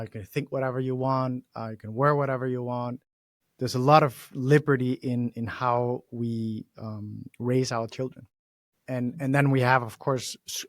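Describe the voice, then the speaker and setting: A man from Denmark with a Danish accent, hosting an interview in an animated, chatty way with frequent laughs. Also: mid-range voice, no echo, clean studio sound. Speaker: podcast host. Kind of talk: casual interview conversation.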